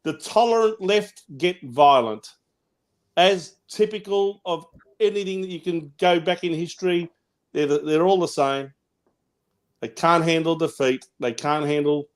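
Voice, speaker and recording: A man with an Australian accent and a booming voice, hosting a casual football chat show and running through match stats in a rambling, opinionated way. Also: normal speed. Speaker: podcast host.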